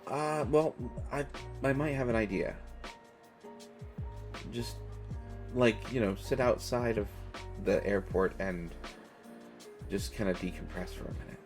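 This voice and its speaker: An American man playing an awkward, kindly stranger in an intimate, casual audio roleplay, talking in a halting way with trailing pauses. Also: lowish voice, music in the background, lightly acted. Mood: shy, coaxing. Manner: hesitant, halting, with trailing pauses and self-corrections. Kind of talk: intimate one-sided audio roleplay, casual conversational acting.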